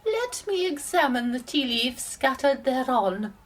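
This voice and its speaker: A woman's voice: sits high, sing-song, measured pace, exaggerated voice. A woman playing a mysterious, eager soothsayer with a theatrical manner in a comedy audio drama. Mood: mystic, intrigued. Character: soothsayer reading tea leaves, mysterious and eager.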